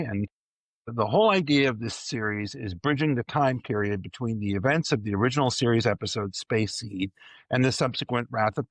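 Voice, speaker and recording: A man's voice: fairly low pitch, fairly bright tone, dry recording. Guy chatting on a podcast panel, dry close sound, kinda rewording stuff as he explains. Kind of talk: casual discussion, explaining.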